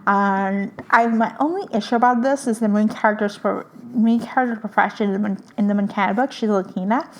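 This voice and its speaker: A young woman from hosts a casual podcast, her Spanish. She talks eagerly, full of fillers and little restarts, at an ordinary mid pitch for a woman. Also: fairly quick, hardly any pauses. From Puerto Rico. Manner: enthusiastic, chatty, many fillers. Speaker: podcast host. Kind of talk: casual book recommendations.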